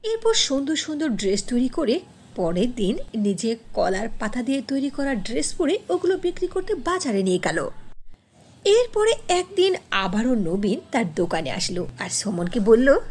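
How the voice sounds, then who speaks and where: Animated, high-voiced woman storyteller. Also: moderate pace, breathy edge. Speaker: narrator. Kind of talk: scripted fairy-tale narration.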